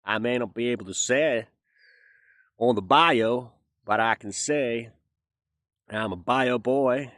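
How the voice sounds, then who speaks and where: A man's voice: mid-range voice, slow, pauses often, fairly bright tone, no room sound, studio quality. A Finnish man who speaks English with a Southern American twang, chatting casually and rambling through a jokey monologue with plenty of fillers. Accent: Southern American-style English. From Finland. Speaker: vlogger.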